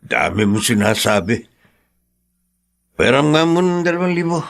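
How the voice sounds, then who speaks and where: A man's voice: medium pitch, sing-song, moderate pace, creaky, no echo, studio quality. An actor playing a middle-aged working-class father in a radio drama, hot-headed yet doting, with a pleading, blustering manner.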